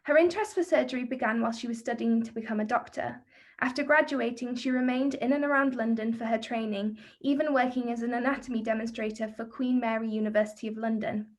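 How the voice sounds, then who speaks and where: A woman narrating a scripted introduction, reading aloud with a few small stumbles. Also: on the high side. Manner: read-aloud, slight stumbles.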